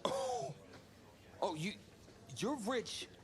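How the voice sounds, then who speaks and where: A man playing a young, cocky college football quarterback in a video game drama, talking in a casual, slangy, expressive way. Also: very high-pitched, drawn-out words, exaggerated voice. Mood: flustered. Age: young adult. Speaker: voice actor. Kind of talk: naturalistic sports video game drama acting.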